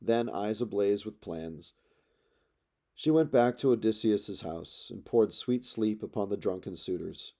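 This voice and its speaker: A man reading epic poetry aloud as a narrator, in measured, dramatic storytelling phrases. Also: on the low side, expressive, mellow. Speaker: audiobook narrator.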